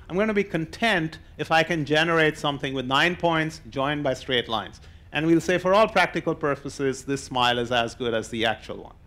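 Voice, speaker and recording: A man's voice: on the high side, fairly bright tone, slight background noise. An older man giving an informal explanatory lecture, talking conversationally and laying things out step by step. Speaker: mathematician and novelist giving a public lecture. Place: indoor lecture hall, on stage.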